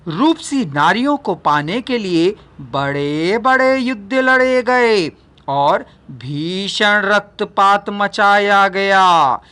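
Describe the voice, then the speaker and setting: Very slow-reading woman narrator. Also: medium pitch, lively intonation, a bit bright. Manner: deliberate, formal reading with pauses between phrases. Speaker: audiobook narrator. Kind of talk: scripted narration of a non-fiction book.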